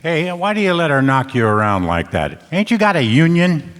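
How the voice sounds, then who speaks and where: A man's voice: on the high side, very animated, moderate pace, fairly bright tone. A man playing a wisecracking hard-boiled private eye, wry, tough and slangy, in a noir radio play. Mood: casual probing. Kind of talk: noir radio play narration and dialogue on stage. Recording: reverberant hall stage recording.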